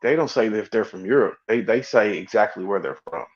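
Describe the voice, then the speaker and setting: Argumentative low-voiced man on a call. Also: animated, a little fast, highs cut off. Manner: argumentative, challenging questions. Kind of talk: casual discussion. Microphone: video call.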